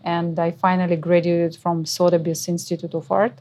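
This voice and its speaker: Female guest, Russian accent, slightly low voice, careful explainer. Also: level tone, normal speed, darkish tone. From Ukraine or Russia. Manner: non-native phrasing, careful explanations. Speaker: art consultant guest. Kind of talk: interview answers.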